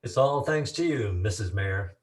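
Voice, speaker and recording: A man playing a flattering political aide in a campy noir radio play. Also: fairly low pitch, moderate pace, natural voice. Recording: noisy live stage recording.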